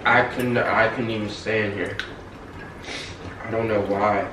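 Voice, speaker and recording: Young man, lowish easygoing voice, distant mic, clattering dishes. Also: fairly even intonation, a little slow, background noise. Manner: laid-back, rambling with fillers. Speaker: family member. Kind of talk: casual family dinner chat. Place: dining room at home. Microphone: far camera across table. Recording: echoey room, distant mic, table clatter.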